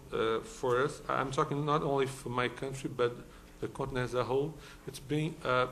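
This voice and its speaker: A man from Brazil speaks English with a Brazilian Portuguese accent at a conference. His voice sits at a middling pitch, and he talks haltingly, full of "uh"s and restarts. A faint hiss runs under the recording. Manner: hesitant, many fillers and restarts. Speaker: law professor, conference panelist. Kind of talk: conference talk. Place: conference hall.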